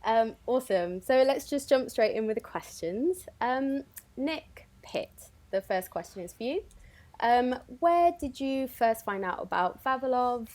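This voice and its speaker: A woman's voice: on the high side, lively intonation, a little slow, a little mellow. A woman hosting an interview as a theatre director and producer.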